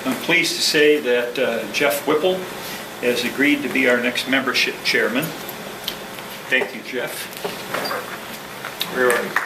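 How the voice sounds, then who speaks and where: A man speaking as a club president, giving a prepared address to a meeting in a halting, measured, formal way. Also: mid-range voice. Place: indoor meeting room with ventilation hum. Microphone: distant room microphone. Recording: distant mic, echoey room, clear background noise.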